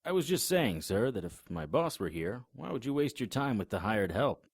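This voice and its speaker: A man's voice: medium pitch, very animated, normal speed, slightly rough, no echo. A man narrating and acting the lead in a noir audio drama, dry and wry in manner.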